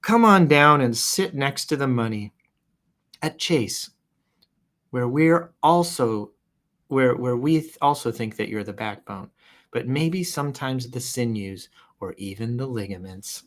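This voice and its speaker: A man's voice: mid-range voice, expressive, measured pace, fairly bright tone. A man hosting a show, casually reading posts aloud and improvising commentary with playful announcer flourishes and wry asides.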